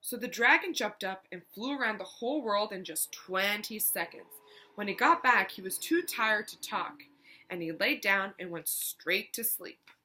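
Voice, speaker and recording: A woman's voice: medium pitch, animated, normal speed, sharp tone, breathy edge, rough recording. A woman storyteller reading a children's picture book aloud expressively, with little asides to the listeners.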